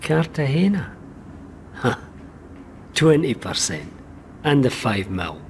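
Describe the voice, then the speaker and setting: An actor playing a persuasive spy recruiter in a video game, informal, matey and insistent. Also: male voice, mid-range voice, very animated, slow pace, slight background noise. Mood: pressured. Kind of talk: video game cutscene acting.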